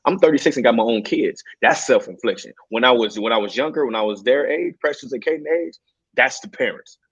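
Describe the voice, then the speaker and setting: A man's voice: mid-range voice, a little fast, a bit bright, husky, dry recording, muffled recording. A man, a podcast panel guest speaking earnestly and repeating phrases for emphasis. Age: 30s. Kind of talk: casual panel discussion.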